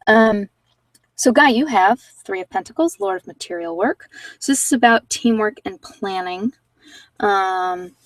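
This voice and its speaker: Creaky-voiced woman, a little distant from the mic. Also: mid-range voice, dry recording. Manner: halting, informal, thinking aloud. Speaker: host of a live card-reading session. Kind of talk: casual tarot reading and chat with viewers.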